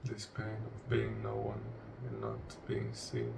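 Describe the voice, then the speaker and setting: Very slow, gravelly man guiding meditation. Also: lowish voice, sing-song. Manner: solemn, deliberate, in short broken phrases. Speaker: meditation guide. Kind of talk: guided meditation, spoken slowly and reflectively. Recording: distant mic with clear background noise.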